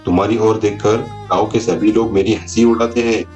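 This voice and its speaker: A man's voice: fairly low pitch, steady tone, a little fast, a little mellow, close to an everyday voice. A man playing a haughty, stingy village man who scolds with scorn in a moral story. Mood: scornful. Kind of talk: acted dialogue in a Hindi moral story. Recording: music bed behind the voice.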